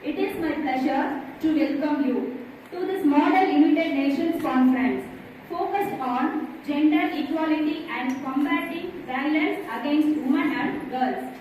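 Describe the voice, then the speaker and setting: A woman with an Indian English accent delivers a prepared welcome address in a formal, read-aloud manner. Her voice sits high and is picked up from a distance. The hall rings with heavy echo and a faint hum of noise. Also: a little slow, a little mellow. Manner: formal, reading a speech aloud. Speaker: welcome speaker at a school conference.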